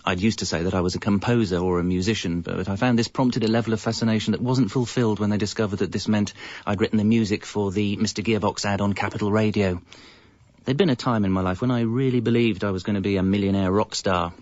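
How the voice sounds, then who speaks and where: A man's voice: fairly low pitch, fairly even intonation, quick pace, a little mellow, no room sound, close to an everyday voice. An actor playing a lazy, evasive composer, narrating his own life in a dry, self-justifying way for a radio comedy. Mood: wry narration. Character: lazy, evasive slacker jingle composer telling his story. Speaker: narrator and lead character. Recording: slightly muffled radio recording.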